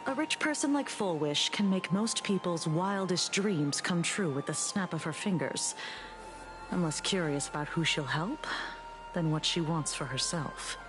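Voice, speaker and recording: An actress playing a composed, shrewd woman investigator in a video game, articulate and gently sardonic with a skeptical wit. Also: fairly low pitch, animated, unhurried, noisy background. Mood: composed, knowing.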